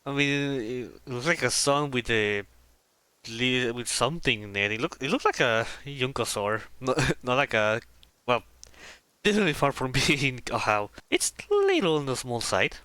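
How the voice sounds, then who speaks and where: A man's voice: mid-range voice, sing-song, normal speed, bright, forceful tone, clean tone. A man giving casual, playful commentary while streaming a game and echoing its dialogue. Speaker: streamer playing and reading along. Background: faint game music.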